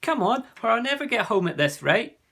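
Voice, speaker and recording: A man playing a cheerful schoolboy hero in a children's storybook. He speaks eagerly in a raised, lively voice with a slightly gravelly edge. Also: extremely high pitch, fairly quick, voice pushed up, full character voice. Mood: friendly. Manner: lively and friendly.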